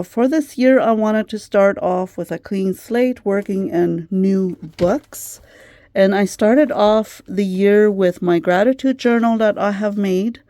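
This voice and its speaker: A middle-aged woman hosting a craft vlog who chats gently with plenty of fillers, her voice slightly worn as if she is losing it. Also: mid-range voice, dry recording. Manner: gentle, chatty, many fillers. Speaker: craft channel host. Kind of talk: casual craft vlog chat.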